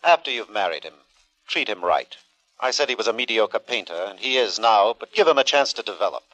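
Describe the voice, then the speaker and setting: An actor playing a cynical, sardonic, quietly protective man in terse 1950s radio drama style. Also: lowish voice, expressive, a little fast, dry recording, rough recording. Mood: cynical, grave. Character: cynical, dry male friend, quietly protective. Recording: 1950 radio broadcast.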